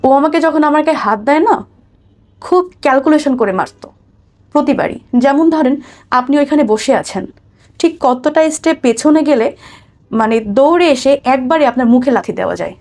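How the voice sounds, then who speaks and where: Higher-voiced Bangladeshi woman recounting her life. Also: lively intonation, moderate pace, darkish tone. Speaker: guest telling her life story. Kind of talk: personal testimony in an interview.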